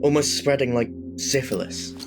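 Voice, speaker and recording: A man's voice: medium pitch, normal speed, background noise, lightly acted. An actor playing a blunt young man in Victorian London, in a period audio drama. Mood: knowing, sardonic.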